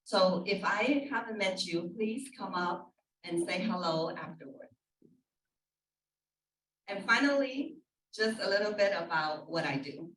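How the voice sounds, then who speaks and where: A middle-aged woman speaking as an escrow officer on a panel, friendly and measured. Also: medium pitch, animated, a bit bright, a little hiss or hum. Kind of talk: panel talk. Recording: echoey room, distant mic.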